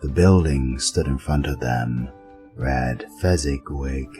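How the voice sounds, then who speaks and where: Solemn storybook narrator played by a man, bottomless pitch, unhurried reading over faint music. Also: warm tone. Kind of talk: scripted narration.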